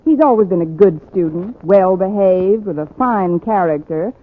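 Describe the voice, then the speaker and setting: An actress playing a wry, wisecracking schoolteacher with a dry, sardonic delivery in a 1940s radio comedy. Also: female voice, mid-range voice, animated, moderate pace, natural voice. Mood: dry, polite. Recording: tinny, hissy old radio broadcast.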